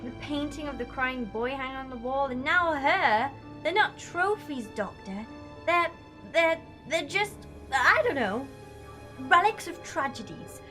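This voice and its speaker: A woman plays a morally indignant young sidekick in an audio drama. Her voice is high, with slow, reproachful delivery. Music plays clearly behind her. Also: lively intonation, pushed and bright, full character voice. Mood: reproachful, sad. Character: young female companion, outspoken, morally indignant.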